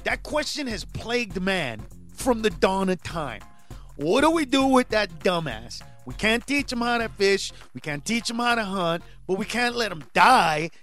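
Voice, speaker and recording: An animated man in his forties, a stand-up comic born in Honduras, talking through an interview and jumping into loud, shouted bits. His voice sits a little high for a man, with faint music playing under the conversation. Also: unhurried. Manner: animated, rising to shouts when acting out bits. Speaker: stand-up comedian, guest. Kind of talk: casual interview chat.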